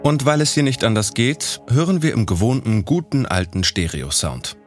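A man presenting a special feature in calm scripted narration. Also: medium pitch, normal speed, faint music behind.

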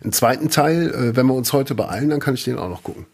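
A man's voice: medium pitch, lively intonation, fast, slightly rough. A man in his fifties, co-hosting a podcast in casual chat, telling rambling stories and correcting himself as he goes. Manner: rambling, self-correcting storyteller. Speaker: podcast co-host.

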